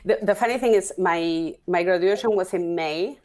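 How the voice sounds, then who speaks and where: Lady in her 40s, kinda low voice, stops and restarts a lot while she thinks, easygoing chat. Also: darkish tone. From Argentina. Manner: halting, restarts often, thinking aloud. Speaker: guest, opera singer and stage director. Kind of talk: casual interview conversation.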